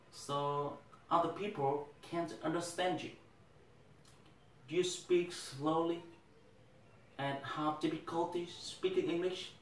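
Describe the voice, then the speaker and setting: A young man with a Vietnamese accent speaks in an earnest, deliberate way, as if delivering a prepared first speech. His voice sits a little high for a man. The sound is echoey and a bit distant, with a faint hiss behind it. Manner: earnest, deliberate, occasional restarts. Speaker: English teacher. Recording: echoey room, mic at a distance.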